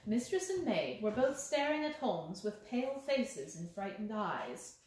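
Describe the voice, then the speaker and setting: A woman's voice: medium pitch, sing-song, measured pace, a little mellow. A woman reading a story aloud as scripted audiobook narration. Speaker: audiobook reader. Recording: very echoey room.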